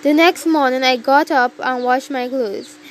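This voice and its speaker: A woman's voice: high-pitched, fairly even intonation, measured pace, slight background noise. A woman narrating a scripted story in the first person.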